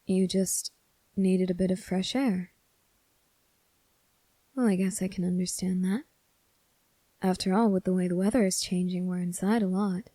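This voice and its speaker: A woman playing a kind, earnest young male cadet in an ASMR roleplay, speaking softly and politely to the listener with gentle reassurance. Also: on the low side, steady tone, moderate pace, pauses often, no echo, close to an everyday voice.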